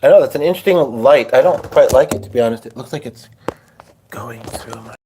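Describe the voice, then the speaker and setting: A man's voice: mid-range voice, fairly quick, mellow, slight background noise. A man live-streaming from home at his piano, chatting loosely to his viewers as he sets up to play. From Hungarian. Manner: rambling, talks to viewers while setting up. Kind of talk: casual live-stream chat. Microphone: phone.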